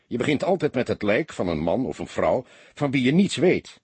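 A man voicing a seasoned, laconic police inspector who lectures his young partner calmly and a little gruffly, with a husky voice. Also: mid-range voice, normal speed, no room sound, full character voice. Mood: reflective.

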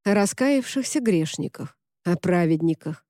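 A quiet, earnest boy with a sad gentleness, as a woman narrator reads him. He speaks slowly and softly, in a mellow, dry voice from an audiobook. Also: lowish voice, expressive, no room sound, natural voice. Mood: quiet, wistful.

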